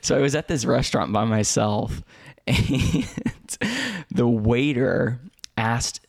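Gravelly-voiced American man telling stories. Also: mid-range voice, steady tone, slow, dry recording, studio quality. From United States. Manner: storytelling, chuckling often, many fillers and restarts. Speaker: podcast co-host. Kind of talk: casual chat.

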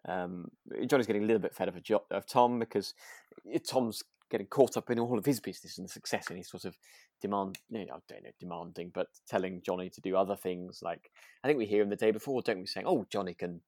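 A man's voice: deep voice, quick pace, darkish tone, no room sound. Man chatting hesitantly in a close, dry sound. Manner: hesitant, repeats and rephrases himself. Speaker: podcast co-host. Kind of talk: relaxed casual chat about a radio drama.

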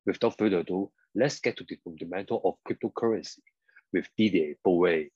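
A man with a husky voice hosting a podcast, introducing a guest and asking questions. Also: low voice, fairly quick, darkish tone, no room sound, dull audio. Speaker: podcast host. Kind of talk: scripted introduction and interview questions.

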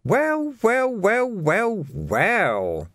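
A man's voice: high voice, wildly swooping pitch, very slow, a bit bright, no echo, clean studio sound, pitched above the performer's own voice, exaggerated voice. A man voicing a sly, menacing male crook turned sergeant who talks with cheerful, mocking menace.

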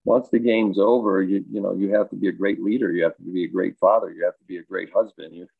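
A man who coaches high school baseball and teaches health, answering interview questions in a thoughtful, rambling way with many fillers and restarts. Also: fairly even intonation, quick pace, creaky, breathy edge, dry recording. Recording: dry, tinny phone-like line.

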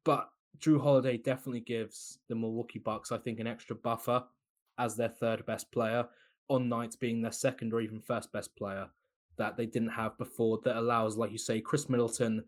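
A man talking basketball in a loose, opinionated way, pitched right in the middle of the usual range for a man. He chats freely, laughing now and then between points. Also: level tone, normal speed. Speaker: podcast guest analyst. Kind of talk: casual basketball chat.

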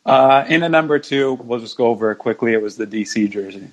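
A man's voice: mid-range voice, fast talker, muffled recording. Understated man as a podcast guest. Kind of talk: casual sports podcast chat.

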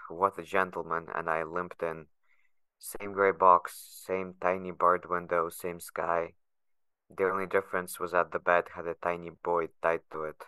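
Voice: sits low, pushed and bright, smooth, dry recording. An actor playing a sardonic, guarded young adult patient held in a facility, narrating in the first person with bitter sarcasm.

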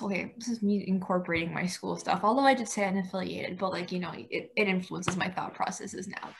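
Young American female, medium pitch, conversational, explanatory and questioning. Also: fairly bright tone, a little hiss or hum. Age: young adult. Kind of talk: casual discussion.

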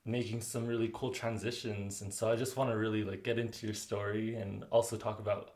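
A man's voice: on the low side, little pitch movement, a little fast, darkish tone. A man hosting a casual interview podcast, upbeat and encouraging, with many fillers and small laughs. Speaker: podcast host.

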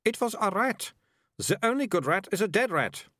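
A haughty storybook girl, acted by a male reader, pitched high. She is blunt, dismissive and scornful, with lively swings in tone. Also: moderate pace, no room sound, clean studio sound, exaggerated voice. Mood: indignant. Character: blunt, scornful girl who hates rats.

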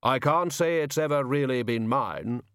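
A man's voice: medium pitch, moderate pace, a bit bright, clean tone, no echo, clean studio sound, natural voice. A man playing a ponderous, solemn hooded figure with a heavy voice and a literal, gloomy manner.

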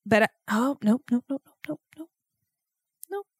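A young woman hosting a solo podcast, talking in a rambling, self-mocking way with loud outbursts, and sounding congested. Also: fairly high pitch, animated, normal speed, breathy edge, no room sound, clean studio sound. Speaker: podcast host.

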